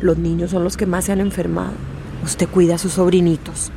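A woman's voice: on the low side, flat delivery, a little fast, warm tone. An actress playing an alarmist, indignant, gossipy townswoman in a radio drama. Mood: alarmed, indignant. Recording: clear vehicle noise behind.

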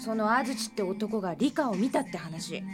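An actress playing an eager young woman assistant who speaks quickly and excitedly in a radio drama. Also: mid-range voice, over music, close to an everyday voice.

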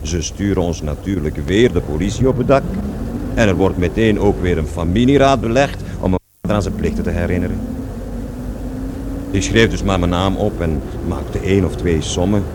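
Over clear street noise, a male actor plays a defiant young man in a radio play. His voice sits fairly low, and he tells stories of school and his father in scornful, slangy, colloquial speech. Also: moderate pace, close to an everyday voice. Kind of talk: radio drama acting, animated storytelling.

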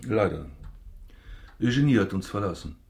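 An actor in a German radio drama playing a pompous, self-pitying banker baron, formal and theatrical. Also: male voice, lowish voice, lively intonation, normal speed, gravelly, darkish tone. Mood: aggrieved.

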